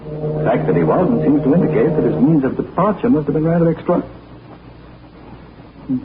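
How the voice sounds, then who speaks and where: An actor playing a polished, calm gentleman detective in a 1940s radio drama, measured and assured. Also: a little high, natural voice. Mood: probing. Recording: tinny old radio broadcast with hiss.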